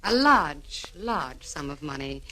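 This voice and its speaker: A woman's voice: low-pitched, sing-song, slow, pushed and bright, exaggerated voice, low-quality recording. An actress playing a sly, composed, scheming society woman in a 1940s radio crime serial, arch and polished. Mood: teasing, sardonic. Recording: old 1940s radio recording.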